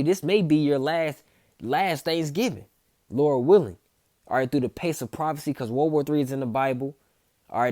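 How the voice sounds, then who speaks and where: A man's voice: medium pitch, darkish tone, no echo, studio quality. A man, preaching informally from scripture, repeating himself and tagging lines with "man" and "okay". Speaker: street preacher.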